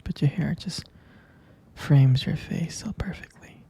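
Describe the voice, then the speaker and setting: A man speaks in a tender, adoring boyfriend ASMR murmur, slow and very soft. His voice is extremely breathy and husky, slipping into whispers, with heavy vocal fry running through it. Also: a little high. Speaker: ASMR roleplay creator. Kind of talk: intimate boyfriend roleplay ASMR.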